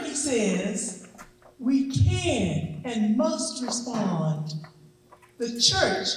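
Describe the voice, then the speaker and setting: A woman presenter delivering a formal, ceremonial introduction in a measured, solemn, oratorical manner. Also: high voice. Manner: measured, solemn, deliberate phrasing. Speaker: presenter introducing a readers theatre program. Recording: echoey room, distant mic.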